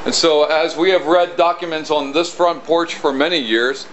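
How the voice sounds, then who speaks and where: A middle-aged American man playing a solemn colonial townsman, reading formally in a projected, measured, declamatory way. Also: fairly high pitch, steady tone, fairly bright tone, natural voice. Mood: formal welcome. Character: solemn colonial townsman proclaiming a public document. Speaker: costumed historical reenactor. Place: outdoors on a stone house porch. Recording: muffled outdoor recording with clear ambient noise.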